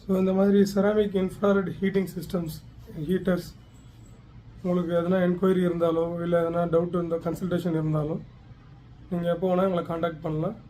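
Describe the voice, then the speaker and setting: High-voiced man, mellow demonstrator. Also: level tone, a little fast, low-quality recording. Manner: plain step-by-step explaining with some English technical words. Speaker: product demonstrator for a heater supplier. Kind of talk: hands-on instructional demonstration. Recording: faint background noise.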